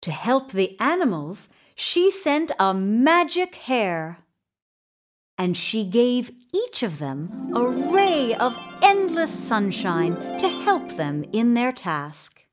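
A woman reading a children's story in an expressive, deliberate way with dramatic pauses. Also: a little high, music in the background. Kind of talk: scripted children's story narration.

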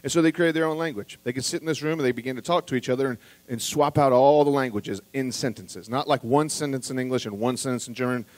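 A man preaching a sermon as pastor, earnest and conversational, rising to emphatic peaks and dropping quiet again, with restarts and asides to the congregation. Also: mid-range voice, fast talker, hardly any pauses, dry recording.

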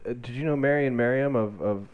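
A man hosting a casual interview, asking informal questions in a halting way. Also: mid-range voice, clear, resonant tone. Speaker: interviewer, host. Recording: dull, slightly lo-fi sound.

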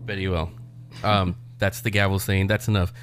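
A man's voice: deep voice, little pitch movement, normal speed, over music. A man hosting a casual interview show, chatting in a joking, wisecracking way.

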